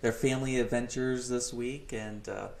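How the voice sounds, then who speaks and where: A man's voice: medium pitch, fairly even intonation, normal speed, gravelly, a little mellow. A man, chatting casually as the co-host of a live show. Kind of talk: casual banter. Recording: roomy, echoing studio sound.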